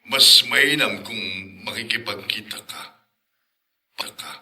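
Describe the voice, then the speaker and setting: Older uncle: radio drama, lower pitch, piercingly bright, grave, measured. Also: male voice, slight background noise, heavily acted. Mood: grave, insistent. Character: serious older uncle making a grave request. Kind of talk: Filipino radio drama acting.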